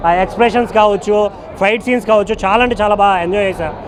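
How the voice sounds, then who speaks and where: A man's voice: sits high, racing, crowd noise. A man giving an earnest spoken review to camera as a member of the public. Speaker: moviegoer giving a film review.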